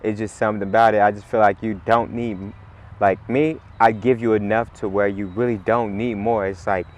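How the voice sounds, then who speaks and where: Raspy, laid-back man, low voice. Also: steady tone, normal speed, a little mellow. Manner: laid-back, rambling, heavy on "like" and "you know". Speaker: musician guest. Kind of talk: casual interview chat.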